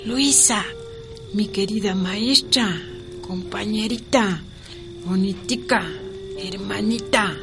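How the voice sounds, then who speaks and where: Frail old Andean woman, slow and tender. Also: mid-range voice, creaky, over music. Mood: weary, tender. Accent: Ecuadorian Andean. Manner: slow, affectionate, pleading, full of diminutives. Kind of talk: radio drama acting.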